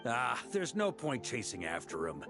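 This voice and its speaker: A man's voice: mid-range voice, wildly swooping pitch, moderate pace. A man voicing a hearty, seasoned, confident knight commander in a video game, bold, informal and frank. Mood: measured. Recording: clear background game music.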